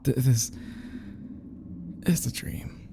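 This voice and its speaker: A man playing an obsessive, lovesick kidnapper in his thirties in an intimate audio roleplay, unstable and vulnerable, stammering and halting, slipping into whispers. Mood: shaken, doubting.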